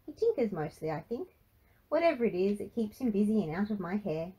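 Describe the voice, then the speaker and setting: A woman voicing a gentle elderly grandmother in a storybook reading, soft, high and tender. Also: very animated, fairly quick, slightly rough, poor audio. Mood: fond.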